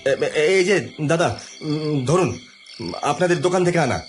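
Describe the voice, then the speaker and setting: A man's voice: on the high side, animated, measured pace, soft background music. An actor playing an irritable, indignant male office worker in an audio drama, complaining and argumentative, sometimes stammering. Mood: angry, indignant.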